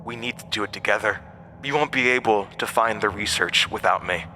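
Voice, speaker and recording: An actor playing an ancient monster, a persuasive, scornful tempter who speaks with theatrical, deliberate emphasis in a horror audio drama. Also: male voice, fairly low pitch, sharp tone, a little hiss or hum. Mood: coaxing, insistent.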